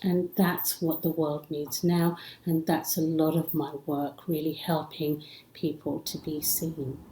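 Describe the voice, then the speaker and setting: A woman's voice: sits low, level tone, measured pace, dark tone, echoey room, low-quality recording. A middle-aged woman, raised in India and England with English as a second language, speaking earnestly and reflectively as an interview guest. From born in Africa, raised in India and England. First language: not English. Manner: earnest, flowing, with frequent "you know". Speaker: podcast guest, psychologist.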